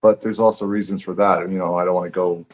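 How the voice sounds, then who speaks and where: Low-voiced man chatting reflectively. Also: fairly even intonation, quick pace. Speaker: guest author and poet. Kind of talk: casual, reflective interview chat.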